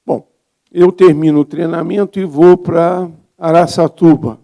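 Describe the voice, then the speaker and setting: A man's voice: a little high, fairly even intonation, unhurried, mellow. A Brazilian man in his seventies, a physician giving an informal, reflective talk as a presenter at a congress. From Rio de Janeiro, Brazil. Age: 70s.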